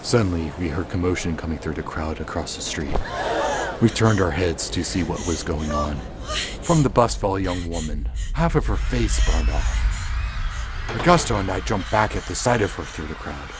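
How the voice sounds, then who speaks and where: An actor playing a weary, bitter officer who narrates an animated drama in a brooding, scripted noir manner. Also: male voice, sits low, moderate pace, heavy background noise. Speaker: narrator and main character.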